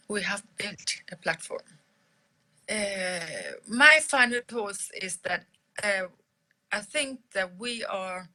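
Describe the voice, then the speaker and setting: A woman's voice: medium pitch, bright, forceful tone, poor audio. A middle-aged woman from Liberia with a mixed Liberian, British and Swedish English accent, chatting loosely on a live-stream Q&A with lots of fillers and restarts. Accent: mix of Liberian English, British English and Swedish English. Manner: conversational, many fillers and restarts. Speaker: company CEO answering audience questions.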